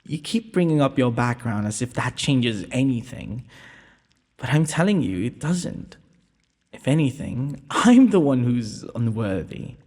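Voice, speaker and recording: A man's voice: mid-range voice, a little mellow. A man playing a shy, tender, self-doubting young prince in a romantic audio roleplay, speaking in hesitant, formal courtly phrases. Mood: earnest, reassuring. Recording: long hall-like reverb.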